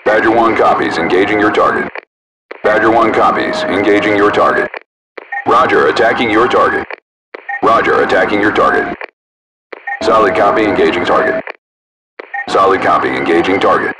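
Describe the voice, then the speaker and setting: Low-voiced soldier on a noisy military radio. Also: male voice, moderate pace, long pauses. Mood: businesslike, focused. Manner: clipped, procedural, authoritative. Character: male soldier crewing an armored vehicle, brisk and businesslike. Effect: military radio filter. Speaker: military armored vehicle radio operator. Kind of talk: military radio chatter, video game acting. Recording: distant radio sound under loud vehicle noise.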